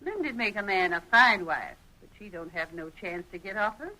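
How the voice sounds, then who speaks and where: Folksy, sharp-voiced frontier busybody. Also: female voice, mid-range voice, very animated, moderate pace, low-quality recording. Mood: gossipy, indignant. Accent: rural American Western. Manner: folksy, emphatic, chattering. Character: gossipy, folksy frontier busybody woman, opinionated and chatty. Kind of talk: 1940s radio acting. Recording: old radio drama recording.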